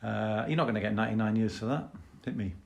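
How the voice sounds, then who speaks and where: A man's voice: on the low side, fast, creaky, a little hiss or hum. A man co-hosting a podcast, chatting casually, skeptical and hesitant, often trailing off mid-thought. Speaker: podcast co-host. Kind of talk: casual chat reviewing a song.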